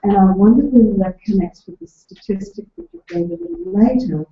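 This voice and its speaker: Soft-voiced woman, lively pitch, gravelly edges, asking from the floor in an echoey room. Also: a little fast. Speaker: audience member asking a question. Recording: echoey room, dull lo-fi sound.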